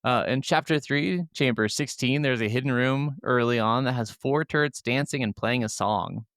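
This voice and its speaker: A man's voice: mid-range voice, normal speed, fairly bright tone, dry recording, clean studio sound. A man co-hosting a gaming podcast, talking casually. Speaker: podcast co-host.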